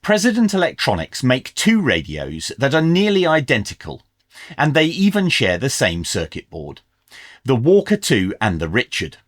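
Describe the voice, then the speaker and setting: Man narrating with lively intonation. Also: medium pitch, normal speed, dry recording, studio quality. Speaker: presenter of a radio review video channel. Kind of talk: scripted review narration.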